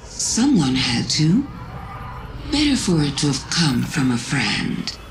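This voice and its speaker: A man playing a smug, soft-spoken, scheming manipulator in a fantasy video game, speaking deliberately and insinuatingly. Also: fairly high pitch, very animated, slight background noise, full character voice. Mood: smug, taunting. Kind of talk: video game cutscene acting. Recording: loud music behind the voice.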